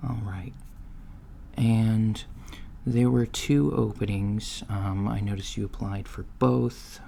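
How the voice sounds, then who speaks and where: A man acts out an apologetic, inexperienced male alien interviewer in an ASMR roleplay. He speaks softly and haltingly in a lowish, gravelly, mellow voice. The lo-fi recording has faint noise and keyboard typing. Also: fairly even intonation. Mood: matter-of-fact, apologetic. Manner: hesitant, halting, mumbling asides. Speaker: job interviewer. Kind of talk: soft-spoken ASMR roleplay. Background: computer keyboard typing.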